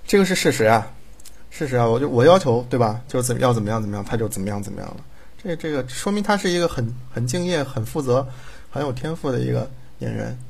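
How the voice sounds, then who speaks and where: A man's voice: medium pitch, lively intonation, quick pace. A man chatting casually as a voice director, teasing and often repeating himself. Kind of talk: casual bantering chat. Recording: clear music behind the voice. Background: background music.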